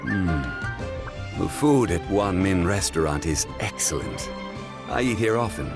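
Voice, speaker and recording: A dignified, wise gentleman in a video game. His voice is very deep, his delivery measured and contemplative, and music plays clearly underneath. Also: lively intonation. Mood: composed. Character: calm, dignified, reflective gentleman of old wisdom. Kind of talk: video game cutscene acting.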